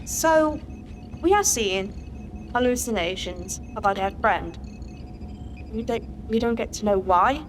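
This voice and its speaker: A woman's voice: fairly high pitch, animated, takes it slowly, pauses often, slight background noise, low-quality recording. A woman playing a blunt, suspicious starship master chief in a science fiction audio drama. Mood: blunt, questioning. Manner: blunt, challenging.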